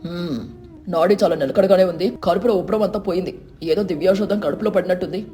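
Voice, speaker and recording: A woman's voice: fairly low pitch, fast talker, mellow, voice pushed down, close to an everyday voice. A woman voicing an unruffled, curious male village physician in a storybook tale, pondering as he speaks. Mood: puzzled, assessing.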